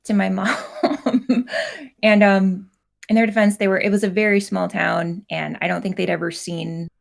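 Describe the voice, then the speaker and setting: A soft-spoken woman, a guest comic creator on a video call, telling a personal story in a hesitant, casual way with many fillers. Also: lowish voice, gravelly. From United States.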